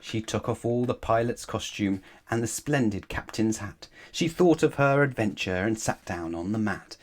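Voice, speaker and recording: A man's voice: on the low side, normal speed. Man reading a rhyming children's storybook. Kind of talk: rhyming storybook read-aloud.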